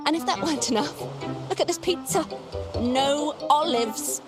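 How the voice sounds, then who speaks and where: A woman's voice: high-pitched, normal speed, over loud music, lightly acted. A woman voicing a melodramatic, self-pitying game character who complains dramatically about bad luck. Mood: dramatic self-pity. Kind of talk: video game voice acting.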